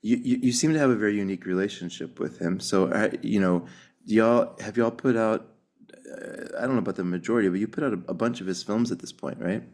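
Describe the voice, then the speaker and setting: Low-voiced podcast host. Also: male voice, a little mellow. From United States. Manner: curious, eager, quick asides. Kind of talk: casual interview chat.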